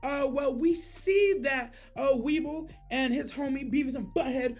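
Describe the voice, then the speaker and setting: High-pitched woman in animated chat. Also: a little slow, faint music behind. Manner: animated, slangy, swearing. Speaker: reaction video host. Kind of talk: casual reaction chat.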